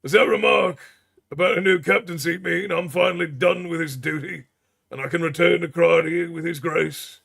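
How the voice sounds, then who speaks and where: A man's voice: fairly high pitch, normal speed, vocal fry, fairly bright tone, breathy edge, pitched above the performer's own voice, heavily acted. A man voicing a weary, earnest veteran sergeant who speaks up in a raised voice. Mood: hopeful.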